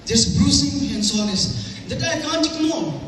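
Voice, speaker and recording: A woman's voice: high-pitched, very animated, moderate pace. A woman in a dramatic stage reading, playing a numb, broken young victim. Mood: numb, troubled. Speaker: student teacher performing in a readers' theatre. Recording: very echoey hall, distant mic, faint noise.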